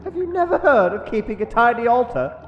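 An indignant, fussy adventurer, played by a man, speaks formally and grudgingly in a comic fantasy audio play. His high voice moves up and down a lot. The sound is echoey. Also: very animated, fairly quick, highs cut off. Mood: disgusted, fussy. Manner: fussy, exasperated, formal phrasing. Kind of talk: comedic fantasy audio drama. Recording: echoey reverberant room with some noise.

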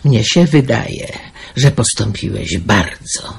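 An actress playing a worried, stern older aunt figure who scolds a young man quickly and sharply in a radio drama. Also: very deep voice, expressive, creaky, breathy edge, full character voice. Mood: reproachful.

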